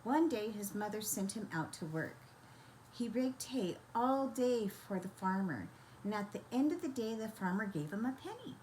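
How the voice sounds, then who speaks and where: An older woman storyteller reading a children's bedtime story in a gentle, expressive, grandmotherly manner. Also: fairly high pitch, a little hiss or hum, poor audio.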